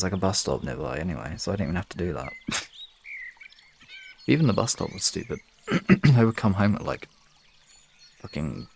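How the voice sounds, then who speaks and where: Low, creaky young man streaming. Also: a little hiss or hum. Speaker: live streamer. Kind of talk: casual livestream chat.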